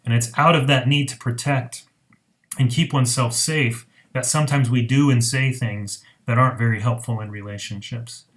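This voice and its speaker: A man speaking as a marriage counselor in an informal explainer, earnest and reflective, with deliberate pauses. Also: medium pitch, fairly even intonation, a bit bright. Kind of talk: informal explainer talk to camera.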